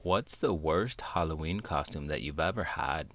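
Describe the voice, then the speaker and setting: A man's voice: extremely low pitch, moderate pace, over loud music, pitched below the performer's own voice. A man voicing a curious, playful chimp sidekick with a cheeky, inquisitive manner for a children's show.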